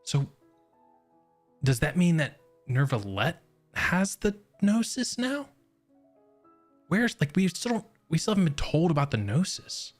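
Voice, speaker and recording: A man's voice: fairly high pitch, very animated, measured pace, pauses often, soft background music. A man streaming a game live with casual, rambling, jokey commentary in a laid-back way.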